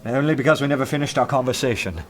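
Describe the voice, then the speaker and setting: An actor playing a haunted, earnest detective in a radio drama, intense, fearful yet resolute. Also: male voice, mid-range voice, rapid-fire, close to an everyday voice. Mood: haunted, tender.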